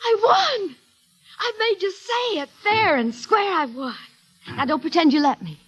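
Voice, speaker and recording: An actress playing a playful, devoted young wife in an expressive 1940s radio drama, with a breathy voice. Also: female voice, extremely high pitch, a little slow, heavily acted. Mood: playful, teasing. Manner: expressive, emotive. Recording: vintage radio broadcast.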